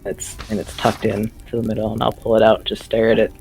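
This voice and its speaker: A man playing a tabletop game, casually and haltingly describing what his character does. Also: fairly low pitch, completely flat delivery, vocal fry, a little mellow, slightly rough, poor audio. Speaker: player. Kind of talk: casual improvised role-play narration. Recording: music behind the voice.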